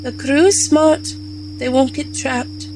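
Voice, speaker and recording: A woman plays a principled female rabbit scientist held prisoner in a space radio play. Her voice is high, with music behind it. She speaks earnestly and stands firm. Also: steady tone, takes it slowly. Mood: grave, resolute. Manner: earnest, resolute. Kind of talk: scripted audio drama acting.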